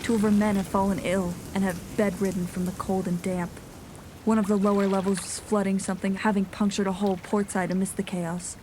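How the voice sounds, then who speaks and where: A woman playing a grave nineteenth-century ship's captain, reading out a log in a formal, measured way for an audio drama. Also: mid-range voice, little pitch movement, noisy background, natural voice. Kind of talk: scripted audio drama narration. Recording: music under the voice.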